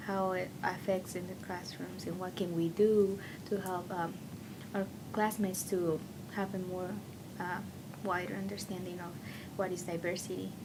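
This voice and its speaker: A woman's voice: flat delivery, a little slow. A young woman from Mexico with a Mexican Spanish accent, whose first languages are Spanish and Otomi, answering interview questions as a student in a cheerful way. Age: young adult. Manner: cheerful, laughs often. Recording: noisy recording with low rumble.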